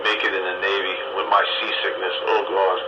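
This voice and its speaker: A man's voice: low-pitched, little pitch movement, a little fast. A man in a casual radio chat with a folksy, rambling, wisecracking way of talking. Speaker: radio round table participant. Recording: very noisy, muffled, echoey radio transmission.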